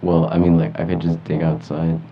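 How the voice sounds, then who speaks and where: Very deep-voiced young American guy, muffled. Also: little pitch movement, brisk. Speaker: podcast panelist. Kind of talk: casual chat. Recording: dull audio with faint room noise.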